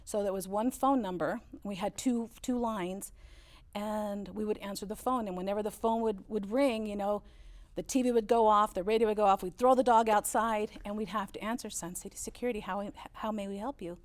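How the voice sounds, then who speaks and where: A woman's voice: mid-range voice, steady tone, brisk. German-born woman telling family stories. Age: 50s. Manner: storytelling, warm recollection with frequent "uh" fillers. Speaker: interview guest, business owner. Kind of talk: relaxed interview conversation.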